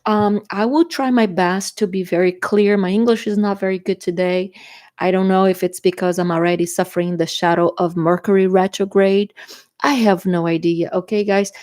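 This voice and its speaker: A woman's voice: mid-range voice, a little mellow. A woman from Brazil with a Brazilian Portuguese accent, whose native language is Portuguese, giving a chatty tarot reading to camera and often checking in with her viewers. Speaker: tarot reader.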